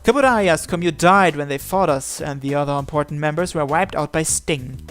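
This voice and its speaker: A man's voice: a little high, normal speed, a bit bright. A man reading visual novel story text aloud as narration, sometimes stumbling over a word and repeating it. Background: background music.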